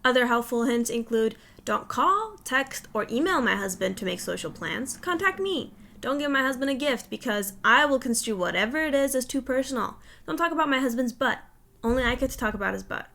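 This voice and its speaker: High-pitched woman reading a comic essay aloud. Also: expressive, brisk, creaky, pushed and bright. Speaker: reader of a humorous personal essay.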